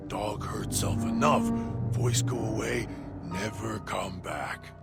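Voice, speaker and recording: A man voicing a deranged, hungry male dog-creature who talks of himself in halting, broken third-person speech. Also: fairly low pitch. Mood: eerie. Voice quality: gravelly. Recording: music bed underneath.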